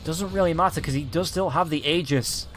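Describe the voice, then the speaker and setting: A slightly hoarse man calling a match in quick, excited play-by-play commentary. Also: a little high, soft background music. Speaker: esports caster.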